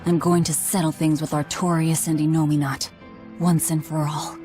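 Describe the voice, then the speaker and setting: Vengeful young heroine played by a woman; low-pitched, blunt, determined game delivery with some music behind. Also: little pitch movement, moderate pace, dark tone, natural voice. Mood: resolute. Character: grimly resolute young woman bent on vengeance, dry-witted. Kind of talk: English-dubbed video game cutscene acting.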